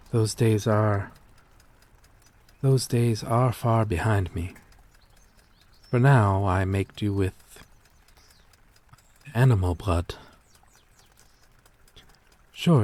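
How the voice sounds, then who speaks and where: Weary gentle vampire outcast, played by a man, very slow delivery, lowish pitch, hesitant and formal, intimate ASMR roleplay. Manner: hesitant, confessional, formal old-fashioned wording.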